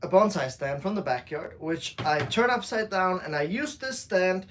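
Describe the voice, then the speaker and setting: A man from Switzerland, co-owner of a bonsai shop, explaining a tree during a live demo in a relaxed way, with fillers and restarts. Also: high-pitched, moderate pace, a little hiss or hum.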